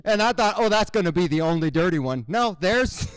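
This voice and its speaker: A stand-up comedian from Cuba by way of Miami, his voice high and very lively, swinging up and down as he tells his jokes. He breaks into loud shouted characters along the way. The tone is smooth and clear, recorded close and dry with no room echo. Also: fast talker. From Cuba, raised in Miami. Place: basement room at a college show.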